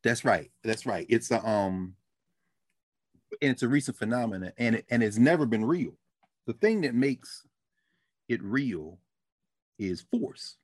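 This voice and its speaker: A middle-aged man co-hosting a casual talk show, an animated storyteller who laughs easily and drops into low asides. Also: measured pace, long pauses, dry recording, clean studio sound. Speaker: podcast co-host. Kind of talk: casual conversational discussion.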